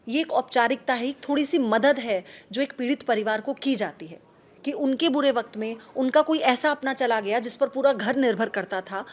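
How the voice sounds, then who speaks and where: A woman's voice: a little high, normal speed. A woman news anchor giving an emotional, earnest commentary, asking rhetorical questions and repeating key phrases.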